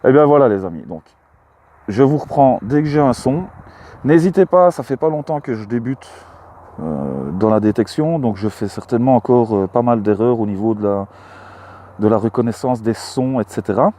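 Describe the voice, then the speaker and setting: A man's voice: medium pitch, expressive, moderate pace, warm tone. A man with a Belgian French accent, chatting casually outdoors in a field with many hesitant fillers. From Belgium, Luxembourg province. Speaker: metal-detecting vlogger. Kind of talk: casual outdoor talk to camera. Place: outdoors in a mown meadow.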